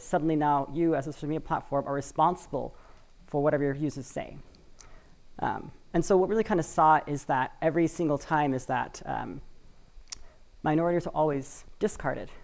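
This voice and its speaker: A woman from Washington State speaks from a conference stage in a loose, conversational way, leaning on fillers and hedges. Her voice sits low with a gravelly, creaky edge. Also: fairly quick, darkish tone. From Washington State, USA. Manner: conversational, many fillers and hedging words. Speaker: conference speaker for a community cooperative. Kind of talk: live conference talk. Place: conference stage, in front of an audience.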